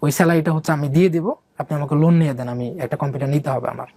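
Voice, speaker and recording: A man's voice: on the high side, steady tone, racing, vocal fry, a little mellow, dry recording. A young man from Bangladesh telling his own life story in a casual, rambling way, with many fillers and English words mixed in.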